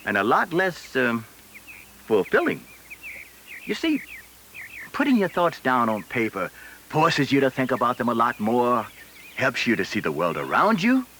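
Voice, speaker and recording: A man's voice: medium pitch, wildly swooping pitch, unhurried, pauses often, sharp tone, exaggerated voice. An older American man playing a kindly, encouraging retired schoolteacher in a scripted educational film, explaining things patiently. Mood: teacherly, enthusiastic. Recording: faintly noisy 1980s 16mm film soundtrack.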